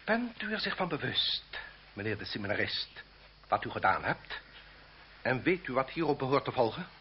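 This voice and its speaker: A muffled, noisy old radio play recording carries a haughty marquis, played by a man. He is a cruel, mocking aristocrat with pompous, formal phrasing and a pitch that swings freely. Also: big pitch swings, moderate pace, natural voice. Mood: coldly menacing. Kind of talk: Dutch radio drama acting.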